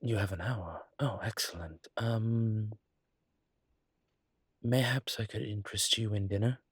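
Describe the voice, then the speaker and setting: Man playing a deep, husky, courteous vampire. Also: measured pace, no room sound, studio quality. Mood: courteous, coaxing. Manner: polite, formal, hesitant phrasing. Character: courteous, wry adult male vampire wary of daylight. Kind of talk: one-sided audio roleplay acting.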